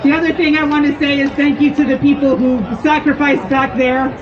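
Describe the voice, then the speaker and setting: A scientist and activist from Fort Peck, Montana, giving a personal speech at an outdoor protest, often halting and restarting. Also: high voice, little pitch movement. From Fort Peck, Montana, United States. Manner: halting, with frequent restarts and fillers. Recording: dull lo-fi outdoor recording. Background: crowd and music.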